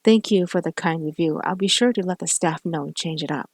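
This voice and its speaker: A woman's voice: lowish voice, brisk, dry recording, studio quality. A woman voicing a friendly, teasing young American man who owns a cafe. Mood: polite.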